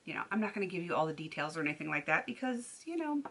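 Woman in her 30s, casual beauty vlogger, bright tone with a slightly low pitch, rambling delivery. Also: very animated, very fast. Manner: chatty and rambling, many ums and restarts. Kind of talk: casual chat to camera. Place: bedroom at home. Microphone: camera at desk distance.

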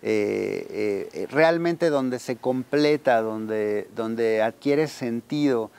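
A man with a clear, resonant voice of medium pitch. He explains things as an interviewee, thoughtful and hesitant as he searches for words. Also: very slow, a little mellow. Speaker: archive deputy director, interviewee. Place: garden outdoors. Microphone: lapel.

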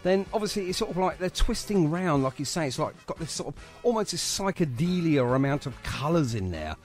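A man's voice: fairly high pitch, very animated, brisk, soft background music. A man chatting casually and enthusiastically as he hosts a radio interview.

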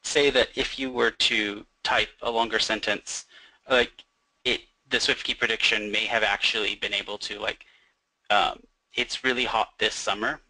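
A young man with a lowish but very bright, sharp voice, talking through software features in a loose, easygoing way. He fills pauses with "um" and "like". The sound is dead dry, with no room at all. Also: fairly even intonation, dry recording, rough recording. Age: young adult. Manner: fluent, uses fillers like "um" and "like". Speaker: software developer presenting. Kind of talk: casual explanation in a webinar.

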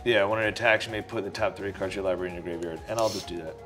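A man's voice: low-pitched, fairly even intonation, rapid-fire, fairly bright tone, faint music behind. A man at a card game table, joking and talking through his turn step by step in casual chat. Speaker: player. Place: indoor studio at a game table.

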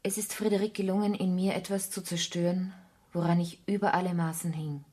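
A sombre woman whose love has soured, in a radio drama. Her voice sits a little low and is noticeably husky. She speaks with measured, deliberate gravity. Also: steady tone, mellow. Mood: sad disillusion. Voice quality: husky, breathy. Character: disillusioned, grave woman ending a love affair. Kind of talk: German radio play acting, intimate dialogue.

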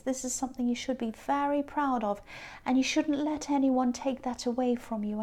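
High-voiced woman reading tarot. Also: mellow. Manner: hesitant, many ums and restarts. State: croaky voice. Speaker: tarot reader. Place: indoors at a tabletop card spread.

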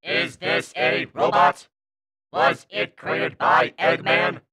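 A man's voice: fairly high pitch, slow, sharp tone. A man voicing a cold combat robot with a clipped, mechanical, commanding delivery. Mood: cold, threatening. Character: cold, relentless male-voiced combat robot.

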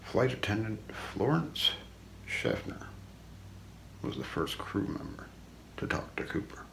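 Middle-aged male ASMR narrator: unhurried, hushed true-crime read with wide swings in pitch, faint background noise. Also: very animated, vocal fry, low-quality recording. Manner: hushed, deliberate, often breaking into near-whisper. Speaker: ASMR role-play narrator. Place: small room indoors.

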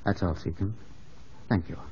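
An actor playing a proud, awkward, suspicious young country gentleman in an old radio drama. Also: sits low, normal speed, breathy edge. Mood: curt. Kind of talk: 1950s radio drama acting and narration. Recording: muffled old radio broadcast with music.

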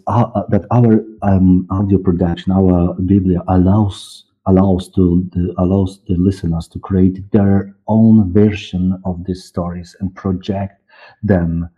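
A man with a very low voice and a Polish accent speaks on a thin, phone-like video call. His delivery is halting, with repeated words as he searches for English. The voice is husky and unpolished, like a guest explaining his work in an interview. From Poland. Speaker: guest, audio drama director and producer. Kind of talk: unscripted interview talk. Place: dark room, on a video call.

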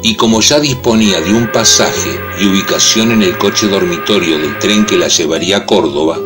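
A man's voice: low-pitched, normal speed. A man delivering scripted narration for a radio soap opera. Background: music.

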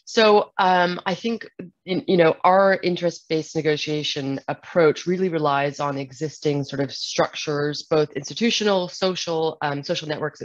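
A woman's voice: low-pitched, no echo, muffled recording. A young woman answering a question on an online panel through a headset, thoughtful and full of fillers. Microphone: headset on video call.

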